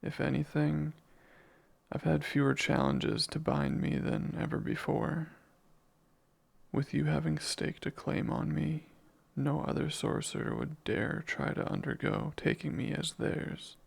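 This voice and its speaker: A man playing a devoted, jealous and menacing demon in an ASMR romance roleplay, speaking in formal, deliberate phrases with a gravelly voice. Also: a little high, animated, heavily acted. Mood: proud, devoted.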